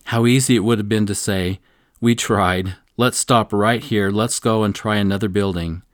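Earnest low-voiced man teaching scripture. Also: fairly even intonation, dry recording, studio quality. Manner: earnest, slows down to stress key lines. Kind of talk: devotional teaching with personal stories.

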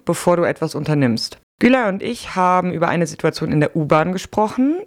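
A woman hosting a podcast, reading her scripted narration in a calm, explanatory way. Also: fairly low pitch. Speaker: podcast host.

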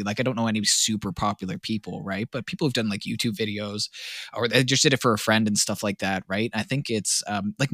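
Low bright-toned rambling man. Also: no echo, studio quality. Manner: rambling, searching for words, many fillers. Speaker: podcast co-host. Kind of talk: casual podcast chat.

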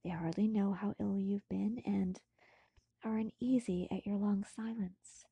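A woman's voice: mid-range voice, steady tone, measured pace, vocal fry, mellow, breathy edge, no echo, full character voice. A woman voicing a caring young male friend in a storybook, soothing and formal. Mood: soothing, concerned.

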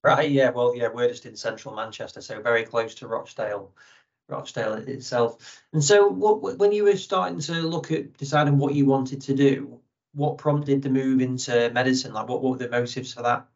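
A man's voice: medium pitch, fairly even intonation, normal speed, gravelly. Man interviewing, slightly muffled audio. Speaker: interviewer, host. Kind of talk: conversational interviewing.

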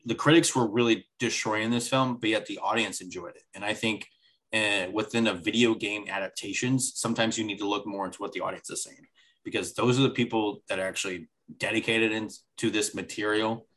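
Man, podcast co-host, a bit low, talks in loose rambling runs with deadpan jokes tucked in. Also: a little fast, low-quality recording. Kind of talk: casual chat.

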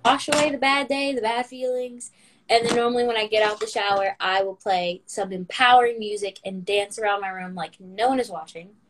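A woman's voice: mid-range voice, fairly even intonation, brisk, slight background noise, low-quality recording. A teenage girl, a guest on a podcast, talking in a hesitant, self-deprecating way in casual chat.

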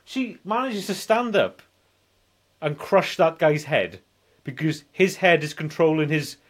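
A man in his forties hosting a casual film review podcast, talking in an animated, repetitive way and punctuating his points with "right?". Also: on the high side, a little slow. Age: 40s. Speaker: podcast host.

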